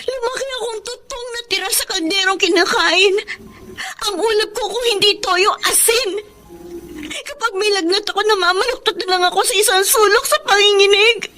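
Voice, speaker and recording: A woman's voice: very high voice, moderate pace, bright, forceful tone, slight background noise. A woman playing a suffering eighteen-year-old daughter in a Filipino radio drama, plaintive and reproachful. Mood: tearful reproach. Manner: emotional, plaintive delivery.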